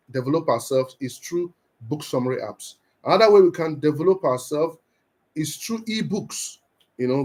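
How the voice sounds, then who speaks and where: A man's voice: mid-range voice, a little mellow. A man from Nigeria giving an earnest, encouraging motivational talk on a live stream, often repeating and restarting his words.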